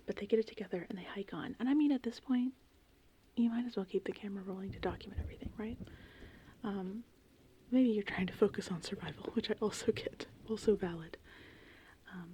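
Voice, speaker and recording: A woman speaking in a breathy, hushed whisper as an ASMR host, rambling informally through a film recap. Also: mid-range voice. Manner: informal, rambling, conversational retelling. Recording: faint background noise, rain and thunder ambience. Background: gentle rain and thunderstorm ambience.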